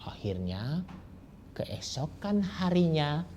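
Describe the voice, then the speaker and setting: A man telling a folk tale to children as a grandfather, slowly and with many pauses and fillers. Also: a little high, sing-song, darkish tone, a little hiss or hum. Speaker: storyteller as a grandfather. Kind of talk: oral storytelling to children.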